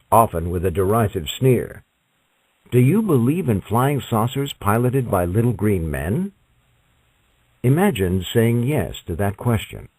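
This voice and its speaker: A man narrates non-fiction in a low, husky voice, recorded dry and close. His delivery is scripted and measured, with emphatic, dramatic phrasing. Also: darkish tone, dry recording, rough recording. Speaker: audiobook reader.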